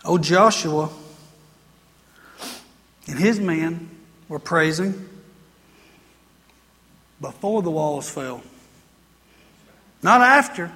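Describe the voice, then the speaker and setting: A woman's voice: a little high, fairly bright tone. An American woman preaching a sermon to a congregation in an emphatic, repetitive, exhorting manner. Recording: reverberant hall sound.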